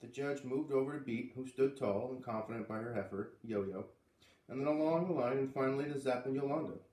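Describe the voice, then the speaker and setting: Echoey male storybook reader, expressive. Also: medium pitch, a little fast, recorded from a distance. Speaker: reader of a children's book read-aloud series. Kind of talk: storybook read-aloud. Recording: roomy, voice away from the microphone.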